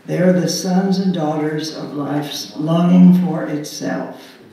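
Older lady leading a church service, formal deliberate reading, super echoey and far from the mic. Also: sits low, crowd noise. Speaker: worship service leader. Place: church sanctuary, indoors. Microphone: pulpit microphone, recorded by far camera. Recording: very echoey, distant, faint room noise.

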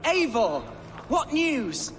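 A naive young Anglo-Saxon lord in a video game, very high and bright in voice. He speaks earnestly and emotionally in a reverberant hall. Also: very animated, drawn-out words, full character voice. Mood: shocked, grieving. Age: young adult. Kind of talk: video game cutscene acting. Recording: hall reverb with faint music.

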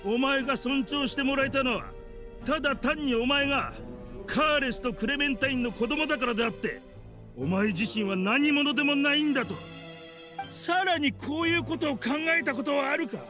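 An actor playing a bitter, vengeful middle-aged man in an anime game, speaking with rough, contemptuous, theatrical accusation. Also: extremely high pitch, normal speed, music in the background. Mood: cruel taunting. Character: bitter, vengeful man in a grudge-driven confrontation.

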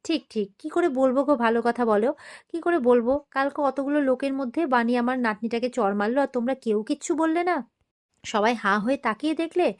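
A woman's voice: high voice, fast, natural voice. A woman voicing a spiteful, quarrelsome old grandmother in a TV serial retelling, with taunting, mock-surprised sarcasm. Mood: indignant goading.

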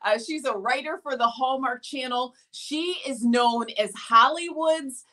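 A middle-aged woman hosting a live video show from her home, talking with lively enthusiasm to her viewers. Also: high-pitched, a little slow. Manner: animated, gestures a lot. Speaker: host. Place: home room with plant and mannequin.

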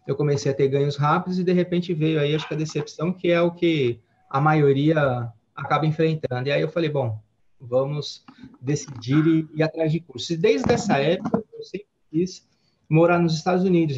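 A man in his forties telling his story in a relaxed, rambling way, with many fillers and restarts, from a home office over a headset on a video call. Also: on the high side. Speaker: guest trader. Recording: dull lo-fi video call audio.

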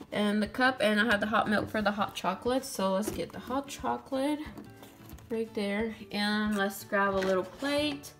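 A young woman casually narrating doll-play cooking in a sweet, chatty way, speaking to her viewers and a doll. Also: mid-range voice. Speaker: doll channel host. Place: toy kitchen indoors. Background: background music.

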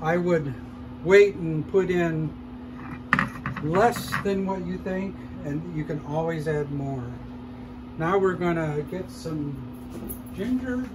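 A man hosting a cooking show, calmly explaining each step as he cooks in a shop demo kitchen. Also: a little high, takes it slowly, long pauses, background noise. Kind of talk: casual cooking demonstration.

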